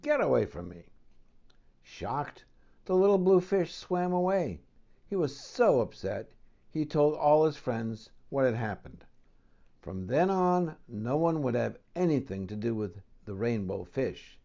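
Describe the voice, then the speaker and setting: Guy narrating a kids picture book, mellow voice, slightly dull recording. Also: medium pitch, big pitch swings, a little slow, gravelly. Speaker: storybook reader, host. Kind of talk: children's story narration.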